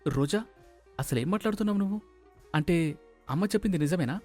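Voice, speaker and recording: A man plays an earnest young husband-to-be in a storybook drama. His voice sits fairly high, with music faintly behind it. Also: animated, a little fast, a little mellow. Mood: astonished. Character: earnest young man, son about to marry.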